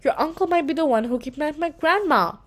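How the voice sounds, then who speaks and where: A woman's voice: high voice, lively intonation, brisk, pitched above the performer's own voice. A woman voicing an anxious, earnest young child in a children's storybook, speaking with urgency. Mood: alarmed. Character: anxious, earnest young child worried about kidnapped grandma. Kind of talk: children's storybook read-aloud.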